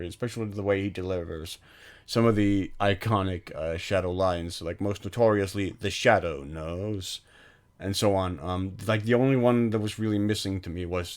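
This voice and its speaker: Deep-voiced man musing with many ums. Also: darkish tone. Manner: hesitant, many ums and restarts. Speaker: film podcast co-host. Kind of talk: casual film discussion.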